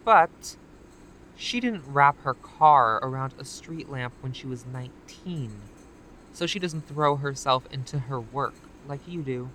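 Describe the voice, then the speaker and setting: An actress playing a calmly manipulative female recruiter in an audio drama, with a measured, condescending delivery. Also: a bass voice, a little hiss or hum, poor audio. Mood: knowing, sympathetic.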